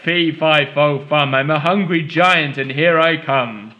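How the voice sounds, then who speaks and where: A man doing a booming hungry giant. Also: measured pace, muffled recording, a little hiss or hum, close to an everyday voice. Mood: roaring. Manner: booming chanted threats. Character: hungry roaring male giant, huge and menacing.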